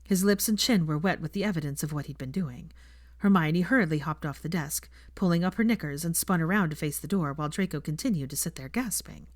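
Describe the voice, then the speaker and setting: A woman's voice: low-pitched, fairly quick, no echo, studio quality. A woman narrating scripted fiction as an audiobook reader.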